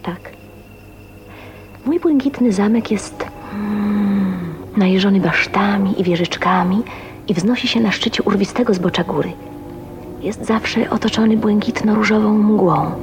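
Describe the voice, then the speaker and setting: A woman's voice: mid-range voice, unhurried, over music, lightly acted. An actress playing a shy, wistful woman of twenty-nine in love, speaking intimately and hesitantly in a radio drama. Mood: dreamy, wistful. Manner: dreamy, emotional, hesitant phrasing with breathy whispers. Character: shy, dreamy 29-year-old spinster, wistful and newly in love. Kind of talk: radio drama acting, intimate monologue and dialogue. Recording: faint noise, 1980s radio drama.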